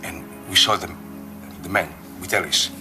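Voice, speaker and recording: A man acting as a janitor who witnessed a crime, in an audio drama. His voice is low and hesitant as he gives his account, with music clearly behind him. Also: moderate pace, natural voice. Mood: uneasy, explaining.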